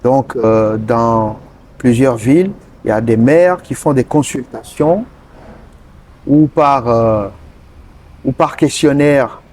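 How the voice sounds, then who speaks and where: A man from Togo, answering interview questions earnestly and pausing often mid-sentence to find his words. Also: mid-range voice, darkish tone, a little hiss or hum. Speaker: interview guest, politician.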